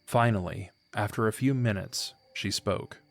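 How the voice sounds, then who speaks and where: A man narrating a scripted fantasy story in a measured, literary storytelling manner. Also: lowish voice, creaky, faint music behind. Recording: faint background ambience noise.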